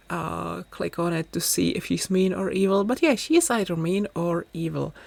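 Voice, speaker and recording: Low-voiced Czech woman, slow and gravelly. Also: no room sound. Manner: chatty, rambling, self-deprecating. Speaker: gaming YouTuber. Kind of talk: casual voice-over commentary.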